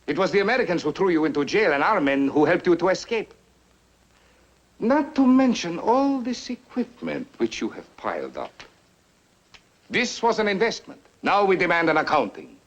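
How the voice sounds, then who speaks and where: An actor playing a menacing but smooth-talking foreign agent, formal and insinuating, in 1950s film style. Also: male voice, sits high, sing-song, normal speed, dull audio, heavily acted. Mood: cold, threatening. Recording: noisy old 1950s film soundtrack.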